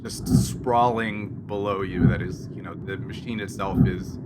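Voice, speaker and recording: A man running a tabletop game as game master, improvising narration in a halting, self-correcting way. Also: deep voice, fairly even intonation. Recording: noisy, loud background hum.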